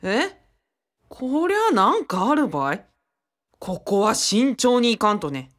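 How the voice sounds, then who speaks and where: A woman's voice: on the high side, huge pitch swings, slow pace, heavily acted. A woman playing a friendly, easily flustered young man in a radio drama, muttering and exclaiming with a Kyushu Japanese accent. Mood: suspicious. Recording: music behind the voice. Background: music.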